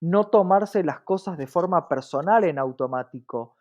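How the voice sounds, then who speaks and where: A woman's voice: on the low side, expressive, normal speed, a little mellow. A woman with an Argentine accent explaining things conversationally as an interview guest, reflective, often checking in with rhetorical questions.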